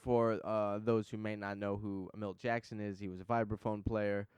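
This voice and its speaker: A man's voice: fairly low pitch, little pitch movement, normal speed, darkish tone, clear, resonant tone, dry recording. A man hosting a podcast, chatting in a laid-back, rambling and enthusiastic way. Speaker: podcast host. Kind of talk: casual interview chat.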